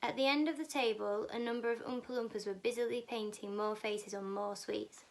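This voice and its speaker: A woman's voice: a little high, steady tone, fairly quick. A woman narrating a children's storybook aloud as a scripted reading. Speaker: teaching assistant reading aloud.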